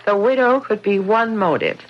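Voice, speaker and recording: A woman playing a composed, probing married lady in a radio mystery drama, speaking politely, deliberately and earnestly. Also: medium pitch, expressive, natural voice. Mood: probing, grave. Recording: muffled vintage radio drama recording.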